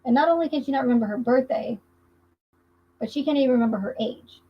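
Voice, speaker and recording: A woman with a fairly high, husky voice hosts a casual livestream. She talks conversationally and emphatically, circling back over her points. The audio is dull and lo-fi. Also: fast talker. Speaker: livestream host. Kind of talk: casual livestream commentary on a true crime case.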